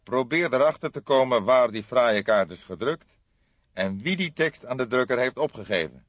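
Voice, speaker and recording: A man's voice: mid-range voice, animated, moderate pace, dry recording, dull audio, pitched above the performer's own voice, lightly acted. Man playing an authoritative, emphatic old detective. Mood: commanding, emphatic. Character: grey-haired, authoritative, drily witty old male police detective.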